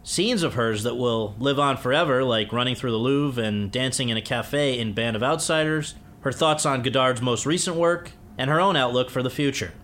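A man's voice: medium pitch, moderate pace, hardly any pauses, fairly bright tone. A man hosting an interview show, speaking in an articulate, courteous way. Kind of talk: scripted introduction, then polite interview.

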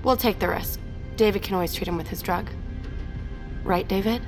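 A woman's voice: mid-range voice, normal speed, music in the background, close to an everyday voice. An actress playing a cool, resolute woman who leads a coup in an audio drama. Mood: cold, firm. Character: Hannah, commanding female coup leader, cool and resolute.

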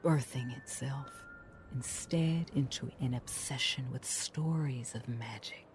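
A woman playing a solemn, wistful fairytale storyteller in an audio drama, narrating theatrically in a hushed, breathy voice. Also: very deep voice, takes it slowly, soft background music. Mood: hushed, ominous.

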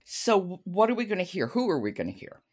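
A woman's voice: lowish voice, very animated, fast, darkish tone. A woman hosting a podcast about books in a conversational style, asking questions and introducing titles. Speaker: podcast host. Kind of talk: conversational book-show hosting.

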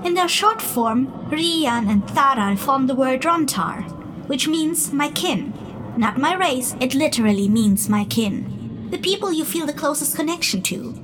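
A woman's voice: sits high, lively intonation, a little slow, noisy background. A woman playing a playful immortal spirit in a fantasy audio drama, teasing and condescending to mortals with frequent giggles. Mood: teasing, amused.

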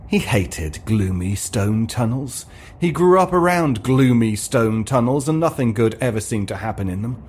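A man's voice: medium pitch, lively intonation, moderate pace, a little mellow, slightly rough. A man reading scripted fiction as narrator, in a dramatic comic storytelling style. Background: ambient sound effects.

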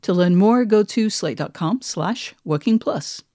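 A woman's voice: medium pitch, lively intonation, measured pace, warm tone, slight background noise. A woman hosting a podcast in a conversational presenting style. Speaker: podcast host.

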